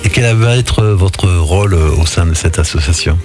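A male radio host with a fairly low voice chats casually with guests on air as an interviewer. Music plays faintly behind him. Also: normal speed, darkish tone.

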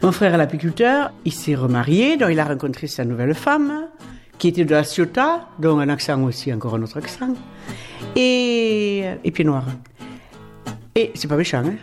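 A woman's voice: sits low, very animated, normal speed, soft background music. A woman in a casual interview, chatting and explaining in a reassuring way. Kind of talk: casual storytelling.

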